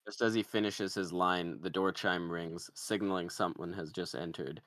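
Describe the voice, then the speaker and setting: A man's voice: low-pitched, steady tone, normal speed, fairly bright tone, dry recording. A man narrating the stage directions of a comedy screenplay in a scripted read.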